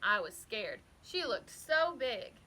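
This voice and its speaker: A woman reading a children's story aloud expressively, with deliberate, dramatic emphasis. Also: fairly high pitch, sing-song, pushed and bright. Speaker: storybook reader.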